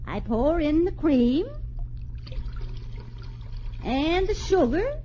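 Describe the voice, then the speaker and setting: Homespun motherly inventor, folksy and bossy, very lively high voice, dull old broadcast. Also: drawn-out words, slight background noise, heavily acted. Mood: coaxing. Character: brisk homespun 1840s housewife and inventor. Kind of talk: 1940s radio acting.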